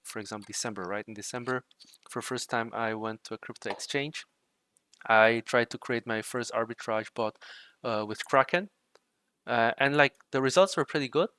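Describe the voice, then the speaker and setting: A young man with a bright, crisp voice talks loosely to his livestream viewers, filling his sentences with "like" and "right?". He sounds dry and close, with no room around him. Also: mid-range voice, no room sound. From Czech Republic. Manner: relaxed, rambling, frequent fillers like "like" and "right". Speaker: livestream host and trading bot developer. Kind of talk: casual livestream chat while coding.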